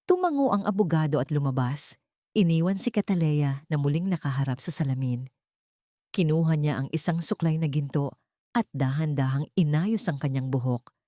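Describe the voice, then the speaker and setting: A woman narrating a scripted dramatic story in a measured, solemn, literary way. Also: low voice, lively intonation, mellow, dry recording, clean studio sound.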